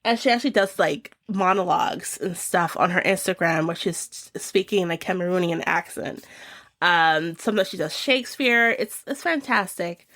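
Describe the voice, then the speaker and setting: A woman's voice: on the low side, moderate pace, a bit bright, dry recording. A young woman chatting casually and enthusiastically as she reviews her favorite shows of the year, with many fillers.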